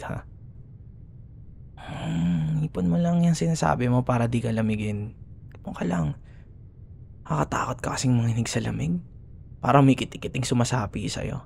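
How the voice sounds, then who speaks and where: A man's voice: mid-range voice, gravelly, darkish tone, slight background noise. A man with a Filipino accent playing a grumbling, teasing young school rival who secretly cares, in a sarcastic, casual ASMR boyfriend roleplay. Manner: sarcastic, casual bickering with sighs and murmured asides.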